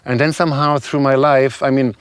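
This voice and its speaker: A man with a continental European accent, a non-native English speaker, talking reflectively and haltingly as an interview guest. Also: mid-range voice, steady tone, clear, resonant tone, dry recording. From Serbia, moved to Germany as a child. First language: not English. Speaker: guest, shaman and spiritual healer. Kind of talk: conversational interview talk.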